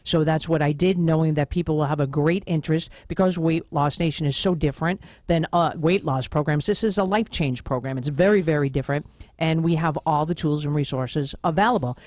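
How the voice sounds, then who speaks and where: A woman's voice: sits low, brisk, darkish tone, no room sound, clean studio sound. A woman in her fifties, a podcast host and author, telling a story with upbeat enthusiasm. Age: 50s. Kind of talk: casual interview chat.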